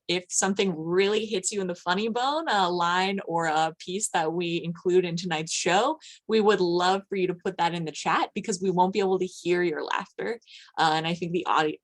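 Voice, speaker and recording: A woman hosting an online event, giving an informal, effusive welcome to the audience. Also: fairly low pitch. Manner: effusive, greeting people by name. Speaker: event host, arts program manager. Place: office, on a video call.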